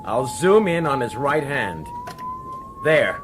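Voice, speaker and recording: An actor playing a brusque, flustered police commissioner in a radio drama, irritable and defensive. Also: male voice, a little high, wildly swooping pitch, slow, over music, exaggerated voice. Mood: agitated, brusque. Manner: brusque, agitated.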